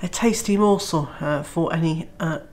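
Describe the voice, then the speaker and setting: Lowish woman's voice, stuffed up with a cold, nasal and throaty, chatty vlog talk full of uhs. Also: moderate pace, a little mellow. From Wales. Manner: chatty, many uh fillers. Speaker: homesteading vlogger. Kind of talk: casual vlog to camera.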